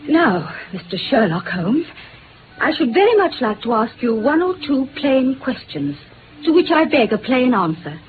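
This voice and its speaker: A woman's voice: high-pitched, big pitch swings, moderate pace. A woman playing a composed, direct, genteel wife under strain in a 1950s radio drama, speaking formally. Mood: firm, pleading. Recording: tinny, faintly noisy old radio recording.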